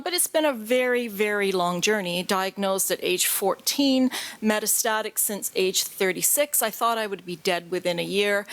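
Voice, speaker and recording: A woman's voice: mid-range voice, a bit bright. Earnest British woman in her fifties. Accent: British, living in Canada. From Britain. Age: 50s. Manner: earnest, plain-spoken, passionate with everyday analogies. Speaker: patient advocate speaker. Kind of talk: conference talk. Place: conference stage at a table. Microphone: table microphone.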